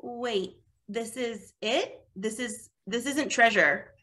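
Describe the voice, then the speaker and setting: A woman voicing a confident, practical paladin in her twenties for an animated fantasy table read. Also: fairly high pitch, takes it slowly. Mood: unimpressed. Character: confident, practical twenty-four-year-old female water genasi paladin.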